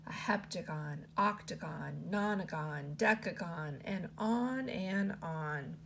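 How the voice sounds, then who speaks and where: A woman teacher reading a children's picture book aloud in an expressive storytelling style. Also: slow, vocal fry, slight background noise. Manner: expressive read-aloud with lots of pitch movement.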